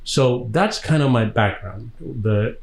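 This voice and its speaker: A man in his sixties from Tanzania, an interview guest, telling personal stories conversationally with reflective, hesitant pauses. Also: medium pitch, animated. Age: 60s.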